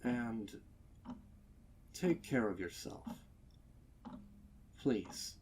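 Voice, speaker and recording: A man's voice: lowish voice, lively intonation, darkish tone, a little hiss or hum. Man voicing an awkward, shaky, kindly stallion. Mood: gentle concern. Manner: hesitant, halting.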